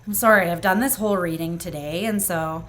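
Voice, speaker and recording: A woman with a fairly low voice gives a tarot reading straight to the viewer. She talks in an earnest, reassuring way, full of "like" and "um". Her voice is going hoarse, so she keeps clearing her throat. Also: a little fast, a bit bright. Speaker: tarot reader. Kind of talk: pick-a-card tarot reading, speaking to the viewer.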